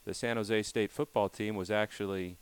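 A man's voice: fairly low pitch, fairly even intonation, normal speed, clean tone. A man hosting a veterans interview show, earnest and folksy as he asks questions. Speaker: host.

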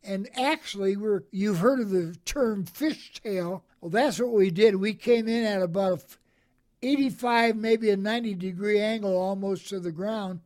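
A man in his eighties, a veteran being interviewed, who talks in a cheerful, soft-spoken, gentle way and chuckles. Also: high-pitched, normal speed. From American Midwest. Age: 80s. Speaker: Korean War veteran guest. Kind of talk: interview answers.

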